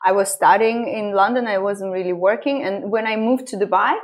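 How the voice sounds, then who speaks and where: A woman's voice: mid-range voice, fast. Woman, thirties, from Estonia, interview guest, earnest, explanatory, filler words. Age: 30s. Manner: earnest, explanatory, with small grammar slips and frequent fillers. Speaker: guest, wellness business owner. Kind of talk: interview conversation.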